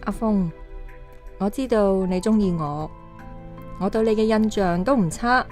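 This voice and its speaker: A young actress playing a gentle woman haunted by lost love in a radio drama, with a Hong Kong Cantonese accent. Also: mid-range voice, unhurried, soft background music, lightly acted. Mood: troubled, hesitant. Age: young adult.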